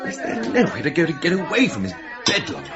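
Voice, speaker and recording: An actor playing a polite, bumbling British gentleman in a radio comedy-drama, hesitant and easily flustered. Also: on the high side, wildly swooping pitch, fast talker, lo-fi sound, over a noisy crowd. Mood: mildly put out. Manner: hesitant, flustered, well-mannered.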